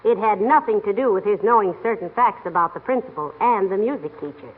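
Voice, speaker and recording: A woman's voice: mid-range voice, a little fast, phone-quality sound, heavy background noise, lightly acted. A woman playing a patient, dryly teasing middle-aged wife in 1940s radio comedy, on an old radio recording. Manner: dry, wry, gently sarcastic.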